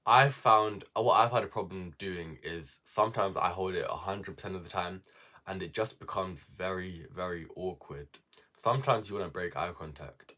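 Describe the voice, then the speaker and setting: A man's voice: deep voice, normal speed, pushed and bright. A young man giving casual self-improvement advice to viewers, repeating key words for emphasis.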